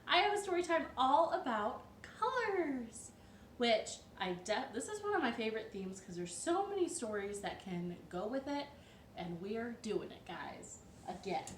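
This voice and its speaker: Animated, high-voiced woman telling children stories. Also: moderate pace. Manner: playful, expressive, emphatic. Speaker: storytime host. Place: living room at home. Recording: roomy echo, distant mic, light noise.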